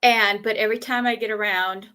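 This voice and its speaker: A middle-aged woman with a faded East Texas Southern accent, chatting casually and telling stories in an animated way. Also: mid-range voice, a little fast, clear, resonant tone. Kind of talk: casual chat.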